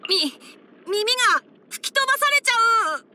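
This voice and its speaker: A woman voicing a boyish young male fox-eared forest ranger and scholar in an anime game, instructive and frank with a gently teasing manner. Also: very high-pitched, lively intonation, moderate pace, exaggerated voice. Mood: distressed, uncomfortable. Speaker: character voice actor. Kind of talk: anime game character voice lines.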